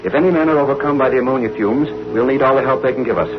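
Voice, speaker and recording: A man's voice: mid-range voice, very fast, lo-fi sound, lightly acted. A man acting an earnest, commanding business owner in a vintage radio drama, speaking urgently and formally on an old recording. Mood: alarmed, commanding.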